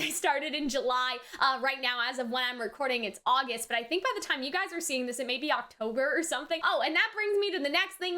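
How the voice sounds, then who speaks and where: A woman hosting a reaction video, commenting casually in an animated, exclamatory, slangy way. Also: sits high.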